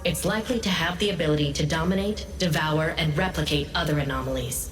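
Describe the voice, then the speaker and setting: A woman playing a composed intelligence analyst in a video game, giving briefings in a measured, serious, informative way. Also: low voice, close to an everyday voice. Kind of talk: scripted game dialogue. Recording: echoey hall, distant, faint music behind.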